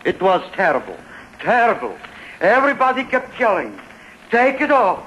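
A woeful excitable russian fella, high and swoopy, old radio comedy. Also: male voice, moderate pace, exaggerated voice. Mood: plaintive. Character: excitable, mournful Russian man, a reluctant entertainer. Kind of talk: 1940s American radio comedy acting. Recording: faintly noisy vintage radio broadcast recording.

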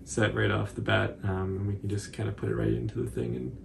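Young man, gravelly vocal fry, casual tutorial delivery, slight background noise. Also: fairly low pitch, expressive, a little fast. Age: 20s. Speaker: cosplay tutorial co-host. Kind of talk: casual unscripted tutorial commentary. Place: indoor living room, seated on couch.

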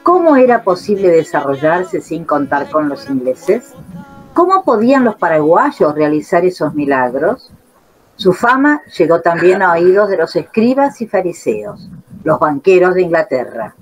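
A woman giving measured, solemn scripted narration for a radio drama. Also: lowish voice, big pitch swings, husky, faint music behind, low-quality recording.

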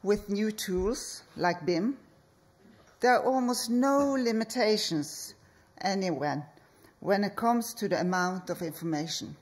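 Woman, architect at a conference podium, slow deliberate phrasing, mid pitch. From Norway. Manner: slow, measured delivery with deliberate pauses. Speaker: architect and project leader. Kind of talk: conference presentation. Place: conference hall stage.

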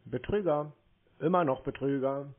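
A man in a casual prank phone conversation, needling the other side in a deadpan way. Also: mid-range voice, moderate pace. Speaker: prank call recipient baiting phone scammers. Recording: band-limited, tinny phone line.

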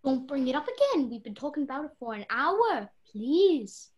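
High-strung society lady, high swooping voice, woman acting. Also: sing-song, normal speed. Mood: angry, distraught. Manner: emotional, pleading, imperious. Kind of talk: mystery radio play acting.

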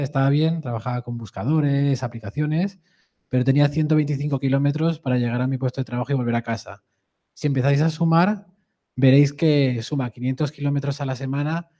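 Madrid-accented man giving a mellow talk. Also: mid-range voice. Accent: Madrid Spanish. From Madrid, Spain. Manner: conversational, often adds a questioning "¿no?". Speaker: conference speaker. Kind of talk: live presentation talk.

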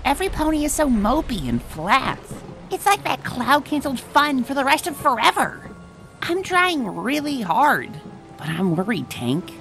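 A woman's voice: high-pitched, very animated, normal speed, gravelly, fairly bright tone, heavily acted. A woman voicing a brash, cocky young female cartoon pegasus in a comic dub, with a boastful, dramatic delivery. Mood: worried, frustrated. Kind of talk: animated comic dub acting. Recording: voice over background music.

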